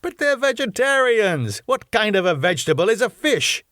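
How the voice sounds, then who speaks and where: A man's voice: sits high, big pitch swings, moderate pace, a bit bright, no echo, clean studio sound, pitched above the performer's own voice. A man doing a sarcastic club owner. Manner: strained, pompous, sarcastic. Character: sarcastic middle-aged male club owner.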